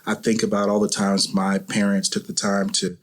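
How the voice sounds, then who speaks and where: Give the voice of a man who is a guest on an interview show. His pitch is a little low. He talks casually and reflectively, with halting, thoughtful phrasing. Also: monotone.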